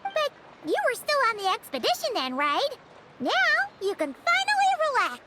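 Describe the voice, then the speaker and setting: A woman voicing a cheeky, childlike little fairy companion in a video game, with a squeaky, chirpy way of talking. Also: wildly swooping pitch, moderate pace, heavy background noise, full character voice. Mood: matter-of-fact. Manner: chirpy, speaks of self in third person. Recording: loud game music underneath.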